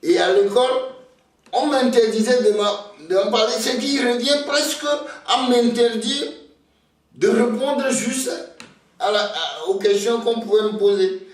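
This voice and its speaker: An older Togolese man whose first language is Ewe, speaking slowly and hesitantly, often repeating words as he starts a thought. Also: extremely high pitch, far from the mic. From Togo. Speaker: interviewee, elder. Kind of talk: slow reflective storytelling. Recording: very echoey room, voice off-mic.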